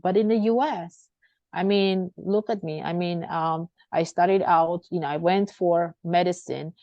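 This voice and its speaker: Low gravelly woman, middle-aged interview guest. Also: fairly even intonation, measured pace, no room sound. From Armenia. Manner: rambling, many fillers and restarts. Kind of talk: conversational interview. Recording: dull lo-fi audio.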